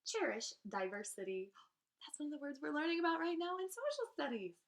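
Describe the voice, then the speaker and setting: A woman with a fairly high voice reads rhyming children's verse aloud like a teacher. Her pitch moves in big, lively swings. She delivers lines theatrically, stressing and stretching key phrases. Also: a little fast, very noisy. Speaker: teacher reading aloud to students.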